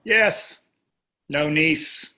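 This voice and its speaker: Man playing a dry, dismissive rich husband, higher-pitched. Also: extremely slow, bright, forceful tone, heavily acted. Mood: dry, blasé. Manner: dry, blasé, clipped remarks. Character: dismissive, privileged wealthy American husband in his sixties. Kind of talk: staged play reading over video.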